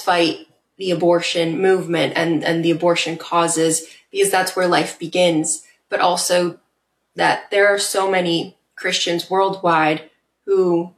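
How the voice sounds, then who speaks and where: A woman guest on a podcast speaks earnestly and reflectively, with occasional fillers. Her voice sits a little low, and the room around her sounds echoey. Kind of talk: casual interview chat.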